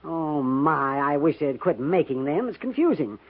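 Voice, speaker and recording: An actor playing a fussy, money-loving frontier storekeeper in a 1950s radio western, muttering hesitantly and repeating himself. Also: male voice, a little high, big pitch swings, unhurried, exaggerated voice. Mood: absorbed, pleased. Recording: noisy, tinny 1950s radio recording.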